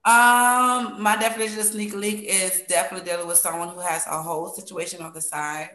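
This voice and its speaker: A woman from New York chatting candidly and casually as a panel guest, expressive and emphatic, often repeating phrases for effect. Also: on the low side, a little fast. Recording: roomy echo.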